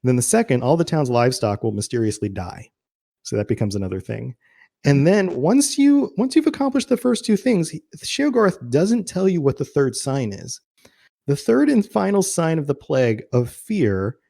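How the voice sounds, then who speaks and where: A man's voice: fairly high pitch, big pitch swings, normal speed, creaky, dark tone. A man chatting informally and casually as a podcast host about game lore, often chuckling.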